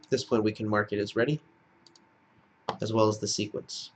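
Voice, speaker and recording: A man's voice: fairly low pitch, flat delivery, moderate pace, a little hiss or hum. A man giving step-by-step screencast narration as an instructor, in a plain-spoken, explanatory manner. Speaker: software tutorial instructor.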